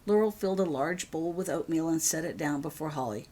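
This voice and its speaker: A woman's voice: sits low, fairly quick, dark tone. A woman reading her own story aloud as scripted audiobook narration. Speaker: author reading own fan fiction.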